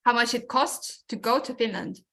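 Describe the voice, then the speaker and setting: A woman's voice: fairly high pitch, normal speed, gravelly, a bit bright. A woman presenting an informational webinar over a video call, explaining things with frequent restarts and fillers.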